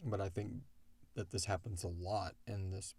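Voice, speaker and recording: Low, gravelly man chatting casually. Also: fairly even intonation, normal speed, darkish tone. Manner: rambling, conversational, filler "like". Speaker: show creator answering listener questions. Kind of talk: casual Q&A discussion.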